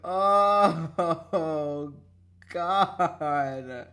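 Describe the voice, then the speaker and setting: A game streamer chatting casually and excitably, swearing freely and swinging between calm asides and mock-angry outbursts. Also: medium pitch, very slow. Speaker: livestreamer. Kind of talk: casual chat while playing a visual novel. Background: background music.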